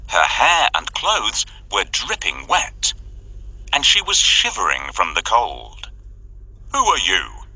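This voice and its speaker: Slow, very animated male storybook narrator. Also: fairly low pitch, breathy edge, dry recording, poor audio. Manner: dramatic, expressive. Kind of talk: scripted children's story narration.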